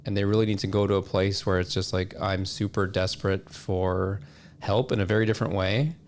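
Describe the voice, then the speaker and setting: Low, gravelly man, fairly even. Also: slight background noise. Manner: measured, explanatory. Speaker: podcast guest, supplement industry representative. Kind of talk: conversational explanation.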